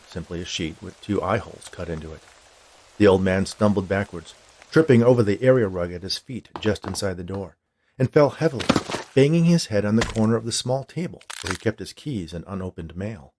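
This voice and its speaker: A man's voice: on the low side, lively intonation, fairly quick, darkish tone, a little hiss or hum. A man reading a scripted story as its narrator.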